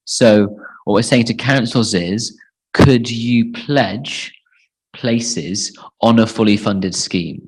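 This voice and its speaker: Man, lowish pitch, informal briefing style, practical explanatory delivery, campaign organiser on a group call. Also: slightly rough, low-quality recording.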